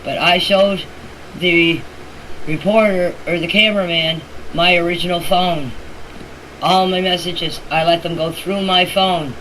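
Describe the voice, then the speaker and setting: Halting woman on a noisy voice clip. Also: fairly low pitch. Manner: halting, repetitive, plain-spoken phrasing. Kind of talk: casual voice message recounting events. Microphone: phone voice message. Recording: noisy voice clip, steady background hiss.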